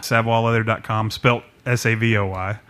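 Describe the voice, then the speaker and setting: A man's voice: fairly low pitch, fairly even intonation, moderate pace, fairly bright tone, clean tone. A man hosting a podcast, chatting casually, telling stories with plenty of laughs and jokes. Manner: laughs easily, jokey storytelling. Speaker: podcast host. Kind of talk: casual chat.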